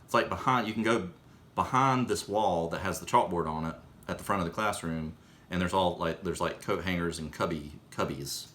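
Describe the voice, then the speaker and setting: A man with a very low voice tells a story in an offhand, conversational way. He hesitates and corrects himself as he wanders along. There is some background noise on the recording. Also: normal speed, a bit bright. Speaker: storyteller recalling his own childhood. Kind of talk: casual, rambling anecdote told in conversation.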